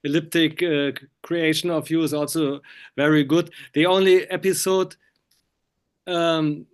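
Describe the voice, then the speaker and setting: German guy doing a film interview, slightly high clean voice, feels his way through english. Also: little pitch movement, clean tone. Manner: halting, hesitant non-native English. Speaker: interviewer, film critic and magazine publisher.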